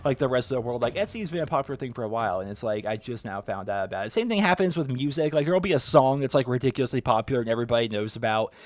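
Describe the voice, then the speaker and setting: Twenties guy from western Pennsylvania, hoarse from a cold, rapid rambling gaming vlogger. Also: mid-range voice, expressive, no room sound. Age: 20s. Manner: rambling, heavy filler use. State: sick, raspy voice. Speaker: gaming YouTuber. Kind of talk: casual vlog chat.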